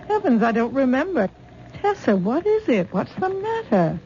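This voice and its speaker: A woman's voice: high voice, very animated, unhurried, mellow, exaggerated voice. A dreamy older mother with a lilting, whimsical lilt, in an old radio play. Her voice swings widely up and down. The sound is dull and slightly hissy, like a vintage broadcast. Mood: warm. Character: doting, dreamy older mother, easily charmed. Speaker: mother of the heroine. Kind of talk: 1970s radio drama acting.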